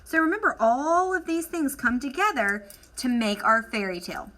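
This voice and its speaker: A woman teacher leading an instructional lesson for children, asking questions, encouraging answers and dictating deliberately as she writes. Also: high voice, lively intonation, pushed and bright. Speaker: elementary school teacher.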